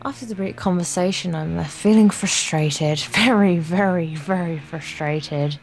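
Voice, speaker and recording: A woman's voice: fairly low pitch, unhurried, faint music behind. A young woman co-hosting a let's play, reading visual novel text aloud with playful, casual banter.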